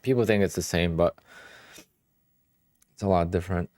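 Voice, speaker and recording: A man in his thirties from Poland with a Polish accent, chatting casually with viewers while live streaming, talking in short relaxed bursts. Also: deep voice, normal speed, dry recording, studio quality. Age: 30s. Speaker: day trading streamer.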